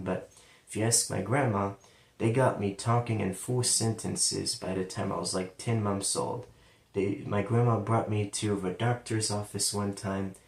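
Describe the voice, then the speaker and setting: A man's voice: fairly low pitch, gravelly. Man, central Ohio accent, rambling personal story, L slipping toward W, echoey room. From London, Ohio, USA. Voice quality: sometimes turns L into a W sound. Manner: casual, rambling, digressive. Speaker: vlogger telling his life story. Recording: roomy, distant from the mic.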